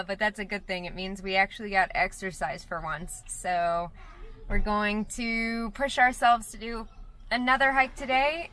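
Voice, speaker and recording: A woman's voice: medium pitch, sharp tone. A young woman vlogging casually on a hike, sounding enthusiastic and awed. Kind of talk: casual travel vlog. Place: car, then outdoor forest trail.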